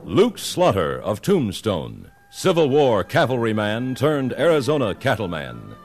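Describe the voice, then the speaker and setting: A man's voice: mid-range voice, huge pitch swings, unhurried. An American male announcer delivering scripted narration for an old-time radio western in a grand, declamatory style. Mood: grand, solemn. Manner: dramatic, declamatory. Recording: old radio recording with music.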